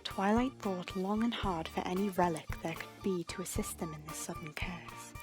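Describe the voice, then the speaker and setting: A woman storyteller reading scripted fiction dramatically, with suspenseful, breathy phrase endings. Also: medium pitch, wildly swooping pitch, normal speed, over music.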